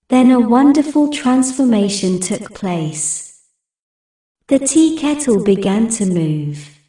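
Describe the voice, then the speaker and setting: A woman's voice: medium pitch, mellow. A woman narrating a fairy tale from a script as a storybook narrator. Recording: roomy, distant-sounding recording.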